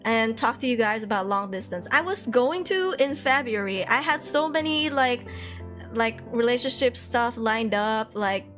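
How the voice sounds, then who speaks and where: A woman's voice: a little high, normal speed, a bit bright, faint music behind. Giggly young woman streamer. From Malaysia. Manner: chatty, laughs often, frequent fillers. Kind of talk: casual chat reading viewer comments.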